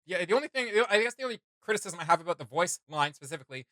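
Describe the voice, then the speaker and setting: Very bright-voiced man chatting as a fan. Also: high voice, sing-song, racing, no echo, studio quality. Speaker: reaction video host. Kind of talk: casual opinion chat with co-host.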